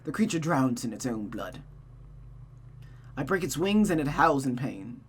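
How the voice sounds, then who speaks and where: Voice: mid-range voice, a little slow, a little hiss or hum. A streamer reading a visual novel aloud expressively, with dramatic narration and casual asides. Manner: expressive, often restarts misread sentences.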